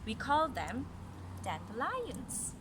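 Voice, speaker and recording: Woman, storytelling mother with a highish voice, reads to a child and explains as she goes; noisy recording. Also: wildly swooping pitch, slow. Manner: explains and asks the child questions. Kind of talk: read-aloud storytelling with casual asides to a child.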